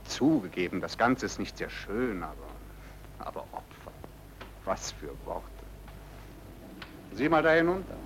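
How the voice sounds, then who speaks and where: An actor playing a suave, smugly amoral racketeer in a vintage radio play, with a nonchalant, theatrical delivery. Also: male voice, low-pitched, very animated, measured pace, long pauses, slight background noise, rough recording. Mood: cynical, menacing. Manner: theatrical, nonchalant, swinging from muttering to declaiming.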